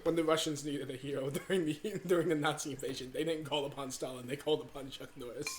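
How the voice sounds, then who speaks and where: A man co-hosting a casual podcast, laughing often and telling crude, lively stories. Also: on the high side, quick pace, slight background noise. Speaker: podcast co-host. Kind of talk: casual banter.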